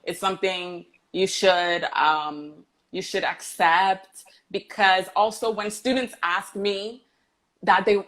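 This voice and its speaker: A woman English teacher chatting casually as a guest on a video call, laughing easily as she tells stories. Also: fairly low pitch. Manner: relaxed, laughs while telling stories. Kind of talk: casual online chat.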